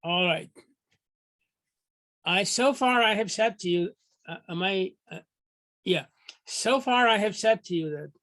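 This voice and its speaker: An older man from Guyana giving a measured, formal lecture over a video call, often restarting his phrases. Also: sits high, a bit bright, no echo. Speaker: guest lecturer.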